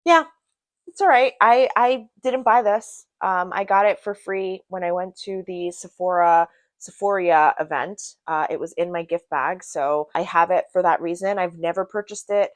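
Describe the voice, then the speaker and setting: A woman's voice: fairly low pitch, normal speed, no room sound. A woman from Brazil giving a casual product review to camera in a chatty, emphatic way, repeating words for emphasis. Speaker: beauty product reviewer and vlogger.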